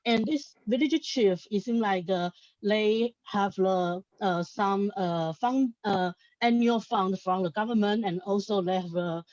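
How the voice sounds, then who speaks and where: Taiwanese woman on a panel, halting and repeating words, dull lo-fi dry sound. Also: medium pitch, darkish tone, no echo. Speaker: symposium panelist. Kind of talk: unscripted panel discussion.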